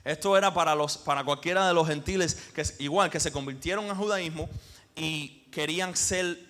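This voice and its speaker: A man from Cuba, preaching a sermon as pastor with emphatic swells and pauses. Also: on the high side, a bit bright.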